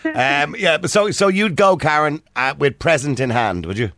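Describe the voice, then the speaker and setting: A man with an Irish accent hosting a phone-in radio talk show, joking with callers and cutting in quickly. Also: a little high, animated, a bit bright, dry recording, studio quality. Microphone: studio microphone.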